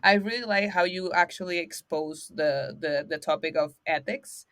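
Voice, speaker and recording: A woman's voice: on the low side, moderate pace, a bit bright, dry recording. Enthusiastic woman host, dry sound. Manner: enthusiastic, laughs often, non-native phrasing. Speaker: podcast host. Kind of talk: casual interview hosting.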